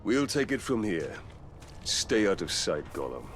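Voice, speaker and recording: An actor playing a serious, resolute warrior in a dramatic video game, speaking tersely. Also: male voice, low voice, sing-song, a little slow, faint music behind. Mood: grave, firm. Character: grave, resolute human ranger warrior.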